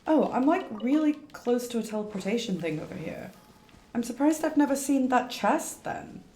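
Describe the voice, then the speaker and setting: A woman's voice: on the high side, expressive, normal speed, dark tone. Chatty woman streamer in an echoey room. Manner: chatty, rambling, thinking aloud. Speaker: game streamer. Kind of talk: casual playthrough commentary. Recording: echoey room, faint game music. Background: faint game music.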